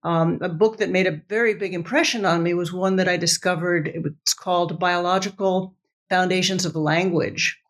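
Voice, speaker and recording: A woman whose first language is Italian, a professor speaking as a podcast guest, enthusiastic and halting with many restarts. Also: fairly low pitch, fairly even intonation. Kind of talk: podcast interview.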